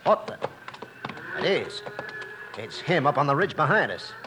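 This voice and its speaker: Sly western outlaw, noisy old recording. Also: male voice, a little high, sing-song, normal speed. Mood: urgent, scheming. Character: scheming cattle rustler, sly and menacing western villain. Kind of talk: 1950s western radio acting.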